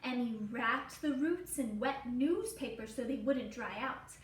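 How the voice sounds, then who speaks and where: A woman teacher reading a children's storybook aloud with expressive, dramatic, deliberate phrasing. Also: fairly high pitch. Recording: roomy, distant mic, faint noise.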